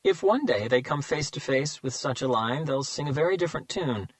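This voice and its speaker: Audiobook villain: smug taunting killer, read by a man, higher and louder than the narrator. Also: lively intonation, dry recording, close to an everyday voice. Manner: mocking, slow and savoring.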